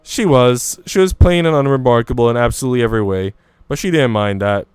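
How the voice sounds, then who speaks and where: A man reading a visual novel's young housemaid, who tells her story in a halting, self-deprecating way. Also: mid-range voice, a little mellow, smooth, no room sound, soft background music, lightly acted. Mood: wistful, self-deprecating. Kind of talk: visual novel dialogue read aloud.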